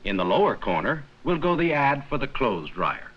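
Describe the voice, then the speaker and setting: A man reading scripted 1950s educational film narration in a measured, explanatory manner with simple, plain sentences. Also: mid-range voice, very animated, muffled recording. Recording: worn, noisy 1950s 16mm film soundtrack.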